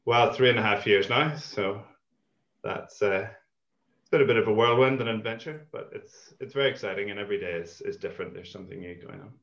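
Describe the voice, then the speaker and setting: A man's voice: lowish voice, fairly quick, fairly bright tone. A man in his thirties, a community farm founder who grew up in Ireland and Malawi, talking in a thoughtful, rambling way as a guest on a video call. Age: 30s. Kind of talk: casual interview chat over video call. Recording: echoey, dull lo-fi call audio.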